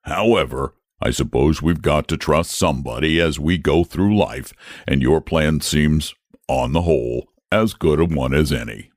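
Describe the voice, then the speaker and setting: Storybook dragon, genteel and lazy, deep drawl, read by a man; casting note. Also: a little slow, no echo, clean studio sound. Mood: placid. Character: lazy, genteel, self-satisfied male dragon.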